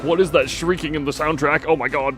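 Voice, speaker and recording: A man's voice: a little high, steady tone, a little fast, fairly bright tone, soft background music. A man streaming a video game, commenting live in a wry, rambling way and often repeating his own phrases. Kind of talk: live gameplay commentary, talking to himself and chat.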